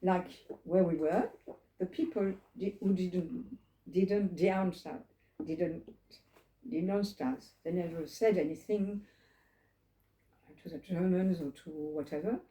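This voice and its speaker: Elderly woman with a mellow voice. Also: on the low side, steady tone, slow pace. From France. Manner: reflective, digressive, asks listeners questions. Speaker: holocaust survivor telling her story. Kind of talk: personal storytelling to a small group. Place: living room. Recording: roomy living room.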